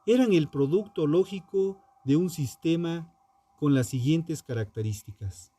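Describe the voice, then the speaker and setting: Slow, animated high-pitched male narrator. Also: breathy edge, dry recording. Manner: dramatic, deliberate phrasing with pointed pauses. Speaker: true crime narrator. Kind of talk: scripted true crime narration. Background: music under the voice.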